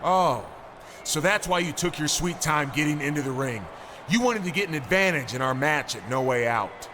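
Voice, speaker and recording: An actor playing an angry champion wrestler, confrontational and accusing. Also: male voice, on the high side, animated, normal speed, sharp tone. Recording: noisy ambient background.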